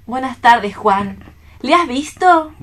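A woman's voice: high-pitched, animated, slow, full character voice. A woman acting in a radio play as an earnest, tender, troubled friend, pleading expressively. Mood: puzzled, hurt.

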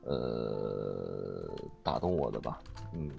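A man answering interview questions casually as a voice actor guest, haltingly and with repeats as he thinks. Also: extremely low pitch, lively intonation, vocal fry. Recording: dull online call audio, music behind. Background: music.

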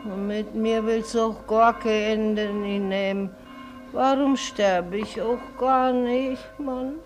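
Eine Schauspielerin spielt im Hörspiel eine müde, gebrechliche alte Mutter mit schlesischem Akzent, die klagend spricht. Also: female voice, on the high side, steady tone, slow, faint music behind, low-quality recording. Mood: weary, plaintive. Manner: plaintive, weary. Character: weary, frail old mother longing for death. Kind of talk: German radio play acting.

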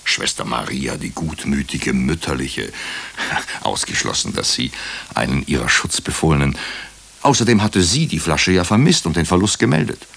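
An actor playing a brooding hospital doctor who narrates a radio crime drama in clipped, deliberate phrases. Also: male voice, a bass voice, animated, vocal fry, fairly bright tone, husky, exaggerated voice. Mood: doubting, pensive. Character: brooding male hospital doctor recounting a murder case. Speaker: actor narrating as the lead character.

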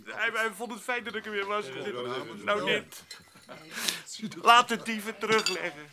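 A man's voice: measured pace, creaky, a crowd in the background. An actor playing a hapless, timid male grape picker in a radio drama, stammering and whining plaintively. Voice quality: very high-pitched. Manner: stammering, whining, repeats words.

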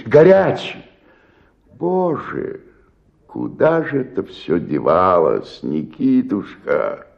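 An actor playing a despairing, self-pitying old provincial actor in a radio play, declaiming and then sinking into murmurs. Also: male voice, mid-range voice, vocal fry, highs cut off, exaggerated voice. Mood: anguished nostalgia. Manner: declamatory, swinging between outburst and murmur. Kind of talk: radio play dramatic monologue.

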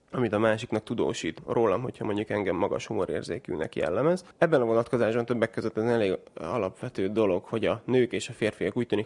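A man with a low, heavily gravelly voice explains research at length in an interview. His delivery is measured and academic, with long chained sentences. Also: darkish tone. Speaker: researcher guest.

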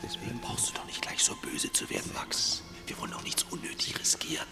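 Timid male accomplice, played by an actor for a 1960s radio thriller: anxious, pleading, hushed, music underneath. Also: brisk, whispered, close to an everyday voice. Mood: anxious whisper. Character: nervous, timid, clumsy male accomplice burglar. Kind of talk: 1960s German radio play acting.

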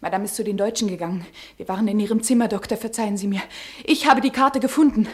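An actress playing a young, loyal, timid maid in a radio play, nervous and quickly panicked. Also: female voice, mid-range voice, lightly acted. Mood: frantic.

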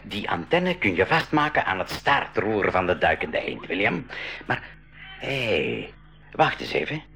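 An actor playing a jovial, excitable male professor in a radio play, full of colourful exclamations. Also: sits low, measured pace, gravelly, soft background music, full character voice. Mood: excitable, exasperated. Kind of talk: 1980s school radio drama acting.

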